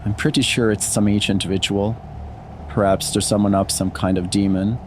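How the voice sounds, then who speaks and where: A man's voice: lowish voice, flat delivery, normal speed, a little mellow, slight background noise, close to an everyday voice. A man acting a wary, gloomy paranormal investigator in an audio drama. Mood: wary, curious.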